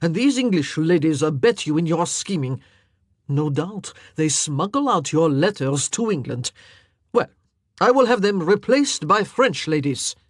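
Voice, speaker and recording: A woman reading the lines of a domineering young king with forceful, growling retorts. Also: deep voice, expressive, unhurried, no echo, studio quality. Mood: menacing. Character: domineering young French king, persistent and menacing.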